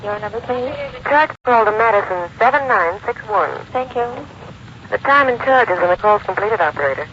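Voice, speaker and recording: A dull, noisy old radio recording of a phone line. a brisk American female long-distance operator, mid-pitched, courteous, with a routine sing-song in 1940s drama style. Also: lively intonation, fairly bright tone.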